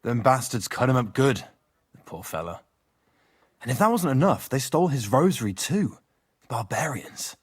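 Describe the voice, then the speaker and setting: A blunt, rough castle guard. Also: male voice, medium pitch, very animated, moderate pace, long pauses. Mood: gruff. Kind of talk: video game voice acting.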